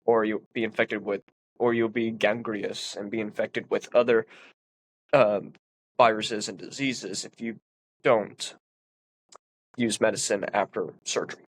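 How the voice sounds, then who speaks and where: A man's voice: fairly low pitch, fairly even intonation, measured pace, long pauses, a little hiss or hum, rough recording. A man with a congested, sniffly voice, reading a philosophy text aloud and explaining it in an earnest way with emphatic stresses. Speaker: philosophy commentator.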